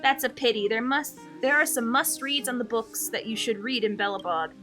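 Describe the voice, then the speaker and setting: A woman's voice: on the high side, normal speed, pushed and bright, soft background music. A woman voicing a polite, formal, bookish newspaper worker with a slightly condescending air in a video game. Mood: polite, earnest.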